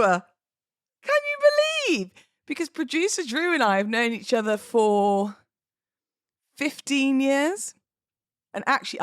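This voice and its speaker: A woman's voice: lowish voice, dry recording, clean studio sound. A British woman hosting a podcast on her own, chatting in a playful, theatrical way. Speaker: podcast host and wedding celebrant. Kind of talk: chatty solo podcast talk.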